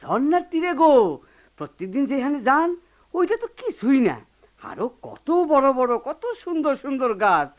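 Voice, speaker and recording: Radio drama simple grumbling houseboy; rural Bangladeshi accent, animated high voice, very narrow tinny recording. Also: female voice, a little slow. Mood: eager. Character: simple, devoted young servant boy, chatty and grumbling. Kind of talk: Bengali radio play acting. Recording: thin, phone-like old radio recording.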